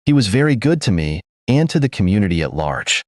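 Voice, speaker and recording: A man's voice: fairly low pitch, big pitch swings, brisk, no echo, clean studio sound. A man voicing an aging king in a read folk tale, exclaiming with sorrow over a lost friend. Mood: astonished. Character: aging male king, grieving old friend.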